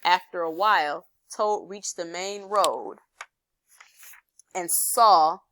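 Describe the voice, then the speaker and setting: A woman reading a children's story aloud as expressive scripted narration. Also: on the low side, slow, no echo. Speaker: storybook reader.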